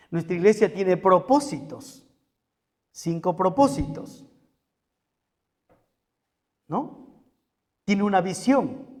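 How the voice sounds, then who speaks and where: High-pitched Peruvian pastor preaching in a reverberant hall. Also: male voice, darkish tone. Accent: Peruvian Spanish. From Peru. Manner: emphatic repetition and rhetorical questions to the congregation. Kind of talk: sermon. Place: church hall.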